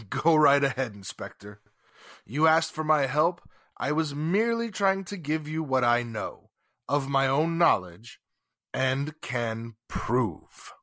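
A man's voice: medium pitch, animated, takes it slowly, a bit bright, no echo, studio quality. An actor playing a haughty, sarcastic, indignant businessman in 1940s radio drama style. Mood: coolly sarcastic. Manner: pompous, formal, sarcastic. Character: haughty, indignant wealthy businessman under police questioning.

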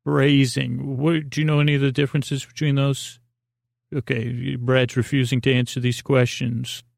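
Creaky-voiced man rambling softly through a monologue. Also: mid-range voice, level tone, moderate pace, no room sound, studio quality. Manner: meandering, repetitive, trails off into tangents; whimsical, silly, talks to an imagined listener. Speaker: podcast host. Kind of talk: rambling improvised bedtime monologue.

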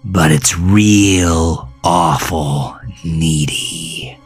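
A man's voice: low voice, drawn-out words, faint music behind. A man voicing a menacing, unhinged male captor who is cruel, mocking and domineering, in an audio horror story.

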